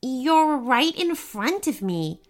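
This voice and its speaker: A woman's voice: sits high, sing-song, slow, voice pushed up, full character voice. A woman voicing a kind-hearted, curious human girl with an eager, helpful manner in a storybook. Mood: cheerful.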